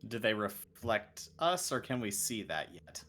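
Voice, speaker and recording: A man's voice: on the low side, sing-song, a little slow, a bit bright. A man playing a tabletop roleplaying game, chatting casually with the group.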